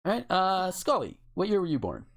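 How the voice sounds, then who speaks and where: A podcast host chatting casually and reading out word lists, haltingly, with swearing and joking asides. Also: medium pitch, wildly swooping pitch.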